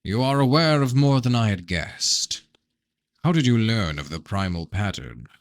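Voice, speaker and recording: A calculating fantasy prince, played by a man reading a novel aloud, evasive and unrepentant. He speaks formally and carefully in a fairly low voice, with a dry, close sound. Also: very animated, normal speed, dry recording. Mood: guarded, probing.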